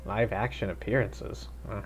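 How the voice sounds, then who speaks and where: A man's voice: lowish voice, fairly even intonation, moderate pace. A man streaming a video game live, chatting casually and reacting aloud with laughter. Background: faint game music.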